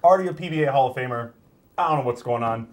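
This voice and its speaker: A man's voice: mid-range voice, rapid-fire. A young man doing casual sports commentary on a panel, with a cocky, teasing, joking manner. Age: young adult. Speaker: sports show analyst.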